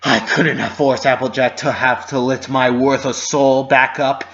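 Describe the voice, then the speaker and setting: A man's voice: medium pitch, fairly even intonation, a little slow, fairly bright tone, lo-fi sound, close to an everyday voice. A man voicing an anguished, self-loathing young female pony in an impassioned, melodramatic story reading.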